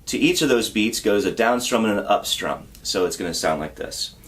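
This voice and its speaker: A man teaching a song on guitar, explaining step by step in a patient, relaxed way and counting beats aloud. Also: on the low side, moderate pace, slight background noise. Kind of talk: guitar tutorial. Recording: loud guitar under the voice. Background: acoustic guitar playing.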